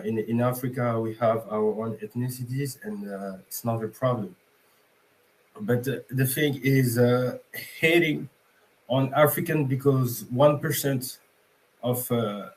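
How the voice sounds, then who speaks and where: A young man calls in to a talk show. He speaks haltingly, with many pauses and fillers, as he works through his points. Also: mid-range voice, darkish tone, low-quality recording. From Senegal. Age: young adult. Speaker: caller.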